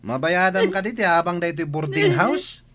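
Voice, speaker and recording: A man's voice: high voice, animated, normal speed, a bit bright. A man acting an angry, spiteful husband in a heated audio drama. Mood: furious, shouting. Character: angry, spiteful young husband quarrelling with his partner.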